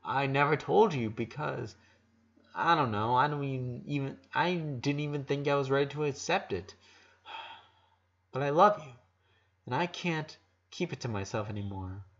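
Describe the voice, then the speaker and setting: An earnest young girl in love, voiced by a man reading a story. The words come softly and haltingly, tender and exposed. The sound is slightly dull and lo-fi. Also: mid-range voice. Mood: tender, vulnerable.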